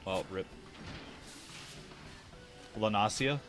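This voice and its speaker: Guy with a lowish voice chatting thru his game stream, thinking out loud, swears a bit, some music in the back. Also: very slow. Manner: rambling, thinks aloud, casually sweary. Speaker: game livestreamer. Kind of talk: casual livestream commentary while playing an online fantasy game.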